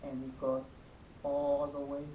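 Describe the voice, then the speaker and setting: The recording is echoey, with a clear rumble of noise behind the voice. A man with a Salvadoran Spanish accent gives an informal math lesson at a medium pitch. He goes step by step, repeating points and checking that the listener follows. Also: monotone, a little slow, highs cut off. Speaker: math teacher.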